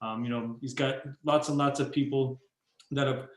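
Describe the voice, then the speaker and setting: A man's voice: medium pitch, steady tone, normal speed, roomy sound. A man hosting a video call, introducing a guest with enthusiastic, filler-heavy talk. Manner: enthusiastic, repetitive, many fillers. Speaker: host.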